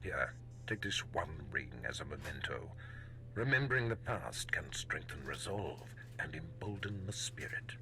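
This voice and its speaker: An actor playing a menacing, imperious Sith lord in a video game, speaking formally and deliberately. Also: male voice, rumbling low, lively intonation, bright, forceful tone, slight background noise, exaggerated voice. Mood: smug, probing. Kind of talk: scripted video game voice acting.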